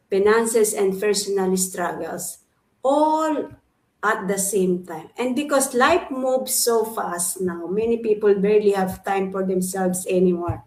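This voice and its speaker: A woman from the Philippines answering questions on a live online panel, earnest and steady, listing her points one after another. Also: mid-range voice, reverberant, poor audio. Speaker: guest panelist.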